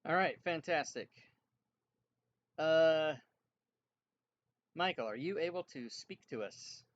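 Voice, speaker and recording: A man's voice: fairly high pitch, expressive, slow pace, lo-fi sound. Easygoing man running a tabletop game. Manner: chatty, easygoing, laughs often. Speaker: game master.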